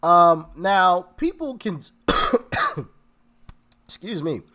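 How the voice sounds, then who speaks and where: A man's voice: high voice, big pitch swings, drawn-out words, pushed and bright. Stuffy-nosed man chatting to viewers. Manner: chatty, addresses viewers directly. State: stuffy, congested from a cold. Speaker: political commentary host. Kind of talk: casual online commentary.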